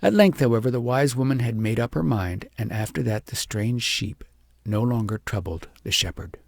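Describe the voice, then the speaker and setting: A man with a husky, gravelly voice reading an audiobook story in a measured, expressive storytelling manner. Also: medium pitch, no echo. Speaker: audiobook reader. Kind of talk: scripted storybook narration.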